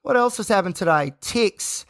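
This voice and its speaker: A man hosting a solo podcast, talking in a rambling, tired, self-reflective way with a croaky, hoarse voice. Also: sits high, lively intonation, moderate pace, a bit bright, no echo, clean studio sound. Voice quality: gravelly. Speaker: podcast host.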